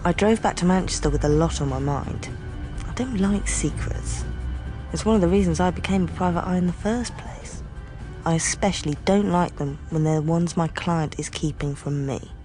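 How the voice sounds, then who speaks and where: A woman's voice: on the low side, normal speed, over music, close to an everyday voice, low-quality recording. An English actress playing a tough, sardonic female private investigator in a radio drama, with dry delivery. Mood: confiding, wry. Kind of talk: radio drama acting with first-person narration.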